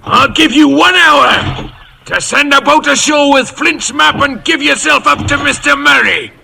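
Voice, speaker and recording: A man's voice: very high voice, measured pace, creaky, bright, forceful tone, full character voice. A man playing a cunning, commanding old cook in a radio drama. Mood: fierce shouting. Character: cunning, commanding old pirate ship's cook, mutiny leader. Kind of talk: 1940s-50s American radio drama acting. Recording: noisy old radio broadcast recording.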